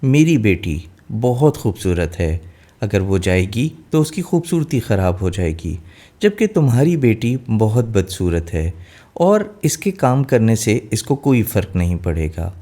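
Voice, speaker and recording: A man's voice: fairly low pitch, sing-song, unhurried, warm tone. A man voicing a cruel, greedy stepmother in a fairy tale, speaking in a commanding and scornful way.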